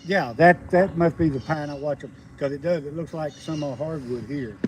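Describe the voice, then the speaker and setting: A man in his fifties with a Southern US accent, rambling in a folksy, halting way during a casual outdoor livestream. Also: a little high. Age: 50s. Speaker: homesteading livestream host, logger. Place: outdoors on a rural roadside. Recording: outdoor noise with birds. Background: birds and rural outdoor ambience.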